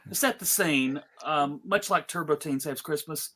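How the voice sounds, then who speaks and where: A man's voice: fairly high pitch, normal speed, bright, forceful tone, slight background noise. A man hosting and narrating a table read in a playful, mock-formal, emphatic way. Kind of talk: playful, theatrical presenting.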